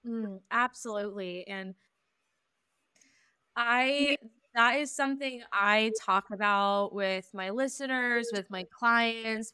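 A woman hosts a podcast with a bright, smooth, resonant voice, chatty and enthusiastic. Her words come quick with lots of "literally" and "like". The recording is dry and close. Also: medium pitch, dry recording. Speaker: podcast host. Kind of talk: casual podcast conversation.

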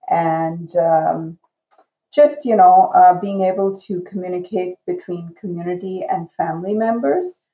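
Slow, measured woman on a roomy, muffled line. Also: fairly low pitch. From grew up in Iran. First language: Urdu. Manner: slow, measured and reflective, with fillers. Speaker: guest, education professor. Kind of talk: interview answer.

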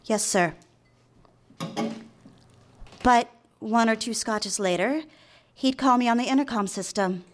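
Actress as demure young secretary, quietly obsessed. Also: female voice, fairly high pitch, moderate pace, natural voice. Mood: dutiful, wistful. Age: young adult. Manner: polite, confessional, period-styled diction. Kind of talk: live radio drama with first-person narration.

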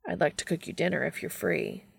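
An earnest, courteous man from an audiobook romance as a woman acts him out, quietly flirty and formal in his phrasing. The voice is lowish and creaky, in a very dry recording. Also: wildly swooping pitch, dry recording, heavily acted. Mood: courteous, inviting. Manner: formal, measured wording.

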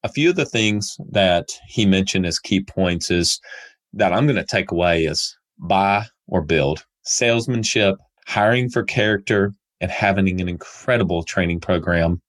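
A man's voice: low-pitched, normal speed. A man hosting a leadership podcast, upbeat and grateful, sounding a little nasal from illness. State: nasal from a cold. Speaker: podcast host. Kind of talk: podcast interview and outro.

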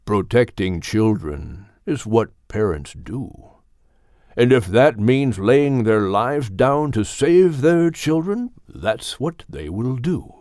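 A man voicing a wise, gentle older male animal mentor in an audiobook, speaking in a measured, firm but kindly way. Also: medium pitch, expressive, dry recording, clean studio sound. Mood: earnest, reassuring. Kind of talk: audiobook character voice.

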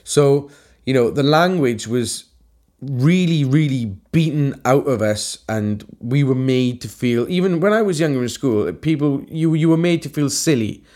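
A man's voice: medium pitch, expressive, measured pace, a little mellow. A Welsh man co-hosting a casual podcast chat, talking in an emphatic, heated way and swearing freely. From Wales. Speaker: podcast co-host, tattoo artist.

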